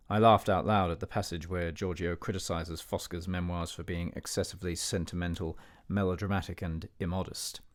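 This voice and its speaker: An actor plays an older, educated gentleman narrating a period radio drama in a low voice. He is measured and formal, with a dignified, censorious air. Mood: disdainful. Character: disapproving, educated older man narrating a memoir.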